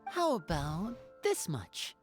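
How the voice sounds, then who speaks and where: Low-voiced, formally courteous female adventurer. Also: wildly swooping pitch, slow, full character voice. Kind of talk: English video game voice acting. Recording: music under the voice.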